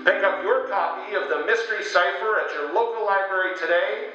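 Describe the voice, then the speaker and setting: A man's voice: high voice, fairly quick, pushed and bright, slight background noise. A man playing an upbeat radio show captain, hearty and showy, rallying listeners like an old-time promo announcer. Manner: hearty, showy salesman-like address. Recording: dull, very echoey radio broadcast with music.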